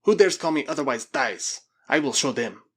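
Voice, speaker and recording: A man's voice: medium pitch, lively intonation, moderate pace, husky. Man acting a surly, boastful Spanish madman. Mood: mad boasting. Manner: menacing, commanding, given to ranting. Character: surly, boastful, raving mad Spanish villain.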